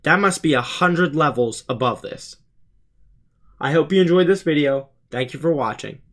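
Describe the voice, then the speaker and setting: A man's voice: on the high side, expressive, moderate pace. A teenage male student narrating a scripted explainer for his video channel, earnest and plainly presented. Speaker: student YouTuber presenting a school project.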